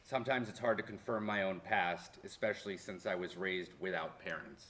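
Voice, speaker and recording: A man's voice: sits low, normal speed, a bit bright. A man playing a reflective college history professor who reminisces about lost parents in a staged-reading monologue. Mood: wistful, earnest.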